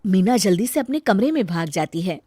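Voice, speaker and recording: A woman narrating an animated family story in a lively, expressive way. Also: mid-range voice, a little fast, dry recording, clean studio sound.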